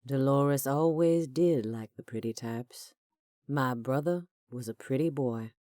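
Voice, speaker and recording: A woman voicing a cruel, volatile male villain who taunts slowly and then bursts into screams. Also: rumbling low, a little mellow, dry recording, clean studio sound. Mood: taunting. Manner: slow, taunting, erupting into screams.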